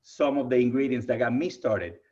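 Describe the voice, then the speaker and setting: A man's voice: mid-range voice, brisk, warm tone. A man from Cuba with a heavy Cuban Spanish accent, giving an enthusiastic keynote presentation over a video call. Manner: enthusiastic, friendly, addresses audience as "you guys". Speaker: keynote speaker. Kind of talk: virtual presentation talk. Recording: muffled lo-fi video call audio.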